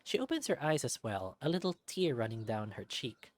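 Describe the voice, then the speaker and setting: Animated woman narrating a visual novel. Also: very deep voice, moderate pace, no echo. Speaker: let's-play narrator. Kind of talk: reading a visual novel aloud with casual asides. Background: music.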